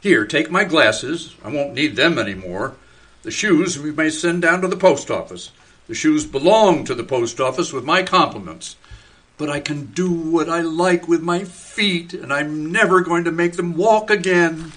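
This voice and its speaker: Actor as a dreamy, whimsical husband turning tree, bright, slightly high voice, radio play. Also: male voice, animated, a little slow. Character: mild middle-aged husband turning into a tree. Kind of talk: community radio theater acting.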